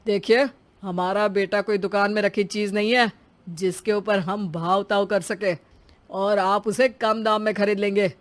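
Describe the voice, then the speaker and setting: A woman's voice: medium pitch, fairly even intonation, unhurried, close to an everyday voice. A woman voicing a greedy, haughty father of the groom in a cartoon story, talking in a demanding and threatening way. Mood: haughty, firm.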